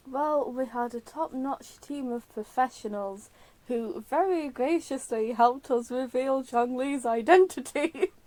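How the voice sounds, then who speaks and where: A woman's voice: sits high, measured pace, darkish tone, dry recording, rough recording. A woman casually reacting during a video game playthrough, wry and hesitant as she thinks aloud. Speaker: game streamer.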